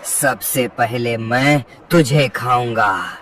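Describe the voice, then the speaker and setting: An actor voicing a greedy, boastful male crow hunter who gloats and cackles with drawn-out threats. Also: mid-range voice, far from the mic, heavy background noise. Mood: gloating menace.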